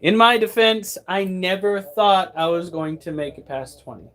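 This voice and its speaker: A man's voice: high-pitched, fairly even intonation, normal speed, fairly bright tone. A man in his thirties hosting a pet channel, talking casually and bluntly, breaking into profane rants. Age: 30s. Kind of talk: casual monologue.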